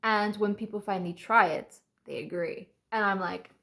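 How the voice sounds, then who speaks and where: A woman's voice: mid-range voice, moderate pace, gravelly, fairly bright tone. A Polish woman in her twenties with a mixed, American-leaning English accent, telling stories to camera in a chatty way and often laughing. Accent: light mixed American-leaning English accent. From Poland. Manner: chatty and laughing, acts out quoted voices. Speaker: vlogger. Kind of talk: casual storytelling to camera.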